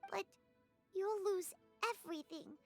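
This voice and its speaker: A woman voicing a tiny, excitable childlike fairy companion in a video game, with a squeaky voice and an emotional delivery. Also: a little slow, soft background music, heavily acted. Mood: upset, pleading. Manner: emotional, refers to self by name.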